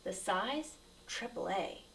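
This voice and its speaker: A woman's voice: mid-range voice, expressive, takes it slowly, distant mic. A woman reading a rhyming picture book aloud to children as a storyteller, with dramatic, emphatic delivery.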